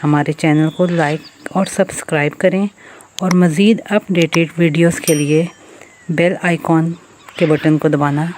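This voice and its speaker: A woman's voice: sits low, steady tone, a little slow, a little mellow, a little hiss or hum, low-quality recording. A woman reading scripted children's story narration.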